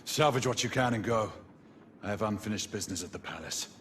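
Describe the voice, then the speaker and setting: A man's voice: lowish voice, fairly quick, a bit bright, a little hiss or hum, natural voice. A man playing a grim, stubborn, honour-bound former knight in a video game cutscene, speaking tersely and solemnly. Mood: grave resolve.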